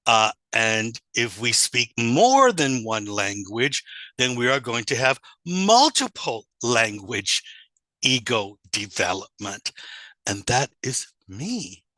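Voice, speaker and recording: A man giving an informal online lecture in a deliberate, explanatory way with rhetorical questions. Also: mid-range voice, sing-song, pushed and bright, no room sound. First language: English, also Spanish and German. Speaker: teacher trainer presenting.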